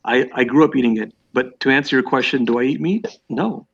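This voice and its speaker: A man from the United States calling into a live-stream debate, arguing fast and insistently and often repeating himself. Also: mid-range voice, gravelly, husky. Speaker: caller. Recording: muffled lo-fi call audio.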